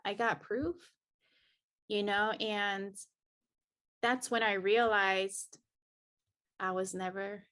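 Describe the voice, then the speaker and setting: A woman's voice: mid-range voice, steady tone, slow pace, long pauses, a bit bright. A woman from El Salvador, a podcast guest, earnestly and reflectively telling her personal story of healing. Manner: earnest and reflective, many restarts.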